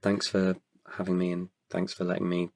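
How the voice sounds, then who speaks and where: Low, gravelly man, candid and reflective. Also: monotone, normal speed, a little mellow. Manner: candid, introspective, hesitant. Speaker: podcast guest, writer and performer. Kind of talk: reflective casual interview talk.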